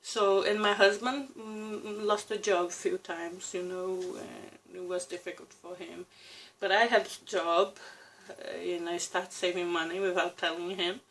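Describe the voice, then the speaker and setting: A woman's voice: on the low side, level tone, slight background noise, low-quality recording. A Brazilian woman in her thirties with a Brazilian Portuguese accent, talking reflectively to camera in a personal vlog. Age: 30s. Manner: reflective, with pauses and restarts, soft laughs. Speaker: vlogger. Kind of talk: casual personal talk to camera.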